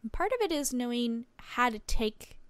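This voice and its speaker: A woman's voice: fairly high pitch, sing-song, moderate pace, a little hiss or hum. A woman playing a chatty, helpful small-town reporter, friendly and explanatory, in a tabletop roleplaying game. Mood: earnest, instructive. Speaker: game master.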